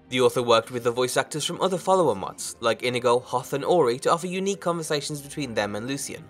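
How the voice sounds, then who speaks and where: A man narrates a scripted video game review as its host. He moves along quickly with orderly presenter phrasing at a medium pitch. Music plays softly underneath.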